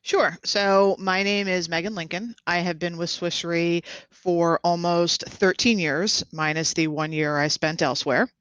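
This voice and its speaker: Woman, podcast guest, measured explanations, smooth clear voice, dry sound. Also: on the low side, steady tone, a bit bright, dry recording. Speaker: guest, meteorologist and underwriter. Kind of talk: podcast interview.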